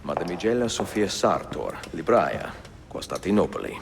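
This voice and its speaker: An actor playing a courteous, wry middle-aged Italian gentleman adventurer in a video game, speaking in a measured way with an Italian accent. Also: deep voice, very animated, vocal fry, exaggerated voice. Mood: courteous, helpful. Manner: measured, courteous, dryly witty. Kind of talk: video game cutscene acting.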